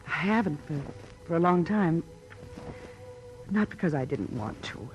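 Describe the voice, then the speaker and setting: An actress playing a guarded, wounded widow in an old radio drama, speaking with hesitant, restrained earnestness. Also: female voice, lowish voice, lively intonation, measured pace, dull audio, faint music behind. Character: plain, estranged widow under suspicion, guarded and wounded. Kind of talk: 1950s radio drama acting. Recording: old radio recording, faint noise.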